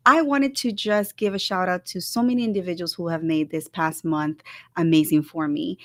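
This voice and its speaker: A woman from El Salvador hosting a casual livestream talk show, bubbly and effusive, repeating words for emphasis as she gives viewers shout-outs. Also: lowish voice, no echo.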